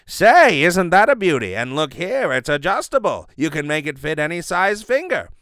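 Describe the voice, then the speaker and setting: A man's voice: fairly high pitch, sing-song, moderate pace, fairly bright tone, dry recording, clean studio sound. A man playing an enthusiastic 1930s radio announcer, emphatic and salesmanlike. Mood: enthusiastic selling. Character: booming, enthusiastic male radio announcer pitching cereal premiums.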